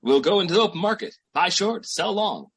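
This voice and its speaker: A man playing a pushy, scheming boyfriend with big schemes and a husky voice in an old-time radio comedy. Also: high voice, animated, a bit bright, heavily acted. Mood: eager, scheming. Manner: pushy, fast-talking salesman patter. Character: brash, scheming small-time hustler boyfriend.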